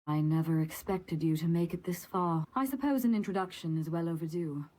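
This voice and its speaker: A woman voicing a composed, guarded guide in a fantasy game, measured and reflective, with a husky, breathy voice. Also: lowish voice, warm tone, natural voice, poor audio. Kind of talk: scripted game voice acting.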